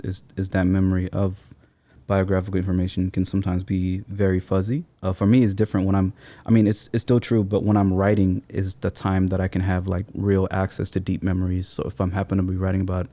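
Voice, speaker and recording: Man in his thirties, deep voice, flat intonation, thoughtful casual interview talk. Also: brisk. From Seattle, born in Somalia. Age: 30s. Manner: thoughtful, informal slangy phrasing.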